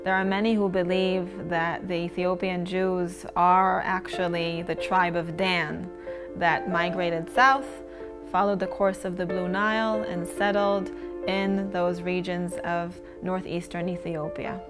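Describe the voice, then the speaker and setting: Female narrator, lowish voice, steady explanatory read over music. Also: a little slow. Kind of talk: documentary-style narration. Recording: music bed under the voice.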